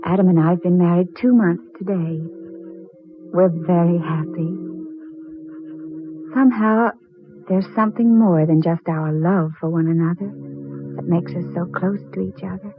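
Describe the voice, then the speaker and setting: A woman's voice: fairly even intonation, close to an everyday voice. An actress playing a devoted, anxious young woman in love, speaking earnestly and emotionally in a 1940s radio drama. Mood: wistful, content. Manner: emotional, dramatic, with halting pauses. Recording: muffled, very low-fidelity old radio, faint music.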